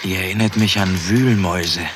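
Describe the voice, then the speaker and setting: An actor playing a frightened, defiant young student in a 1960s German radio drama, protesting and questioning insistently. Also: male voice, low-pitched, fairly even intonation, moderate pace, lightly acted. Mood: uneasy questioning. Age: young adult. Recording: noisy old radio drama recording.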